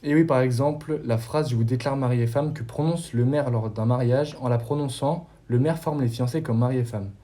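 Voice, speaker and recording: A young man hosts a scripted quiz show and rattles off his questions at a very quick pace. His voice has a dark, mellow tone, and he reads every explanation in a steady announcer manner. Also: mid-range voice. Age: young adult.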